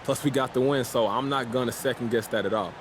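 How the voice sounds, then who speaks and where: A man's voice: mid-range voice, fast talker, noisy background, lightly acted. A man playing a confident young pro basketball rookie in a video game, talking in a casual, slangy, self-assured way. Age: young adult.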